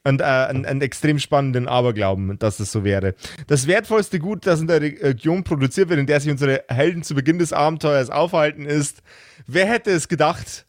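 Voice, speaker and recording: A man's voice: fairly high pitch, a little fast, no echo, studio quality. A man talking casually as a podcast host and game master, thinking aloud and often repeating words as he builds a fictional world.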